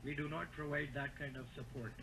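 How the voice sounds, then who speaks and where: A man's voice: fairly high pitch, fairly even intonation, brisk, creaky, pushed and bright. A man, speaking firmly and formally as a technical support agent on a phone call. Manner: firm, formal.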